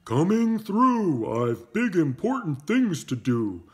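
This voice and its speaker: Storybook big dump truck, played by a male reader: gruff, self-important, impatient, blustering loudly. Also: high-pitched, very animated, takes it slowly, voice pushed up, full character voice. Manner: loud, blustering.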